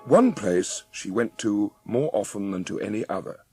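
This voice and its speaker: A man's voice: fairly low pitch, lively intonation, moderate pace, darkish tone, faint music behind. A man narrating a dramatised children's audiobook as a scripted story.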